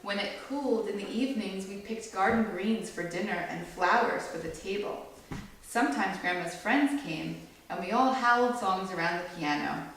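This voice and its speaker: A low-pitched-to-average woman's voice is not needed here; give a woman reading a children's story aloud expressively, with some creak in her voice. She is recorded from well across a very echoey room, with faint noise behind her. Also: normal speed, a bit bright, distant mic. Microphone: far from the reader.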